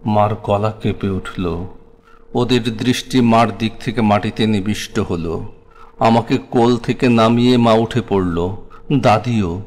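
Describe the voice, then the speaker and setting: A man's voice: lowish voice, a little slow, a little mellow. A man with a Bangladeshi accent reciting a scripted memoir in a solemn, dramatic, literary way. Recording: long hall-like reverb.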